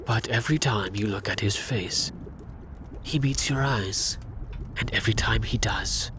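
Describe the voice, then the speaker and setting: A man narrating a scripted second-person fantasy story for ASMR in a hushed, intimate, dramatic way. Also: fairly low pitch, slight background noise.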